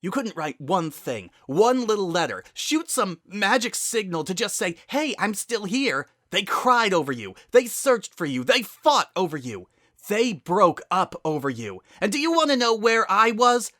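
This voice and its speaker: Guy doing a angry girl pegasus pony, bitter and accusing, pushed up high and swoopy, storybook drama. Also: very animated, unhurried, no echo, clean studio sound, heavily acted. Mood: furious screaming. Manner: accusing, forceful, loud outbursts. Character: bitter, furious pegasus pony older sister, female.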